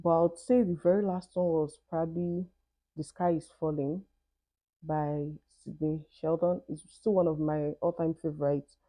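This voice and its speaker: Nigerian woman, low mellow voice. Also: fairly even intonation, moderate pace, dry recording, poor audio. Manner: thoughtful, conversational, with "I mean" asides. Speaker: vlogger. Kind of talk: Q&A vlog, reading questions and answering.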